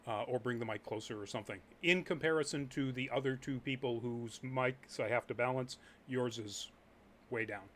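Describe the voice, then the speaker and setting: A man's voice: mid-range voice, normal speed, fairly bright tone, slight background noise. A man hosting a live chat show, upbeat and often laughing as he runs through the news. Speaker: host.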